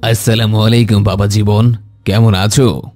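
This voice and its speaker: A fairly low male voice, acted as an older family man in a cartoon. He sounds genial and chats casually, like a visiting father-in-law. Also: level tone, normal speed, mellow, faint music behind, lightly acted. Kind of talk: animated cartoon dialogue.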